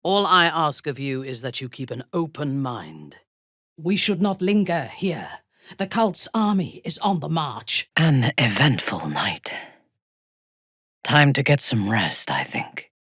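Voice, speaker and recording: A woman playing a ruthless, proud female dark elf warrior in a video game, speaking in a haughty, deliberate, menacing way. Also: extremely low pitch, big pitch swings, husky, dry recording, studio quality, exaggerated voice. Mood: cold, haughty. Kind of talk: video game character dialogue.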